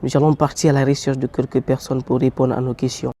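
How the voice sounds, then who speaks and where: A man's voice: mid-range voice, flat delivery, fast talker, loud music behind the voice. Formal male presenter. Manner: formal, announcing. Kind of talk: scripted presenting.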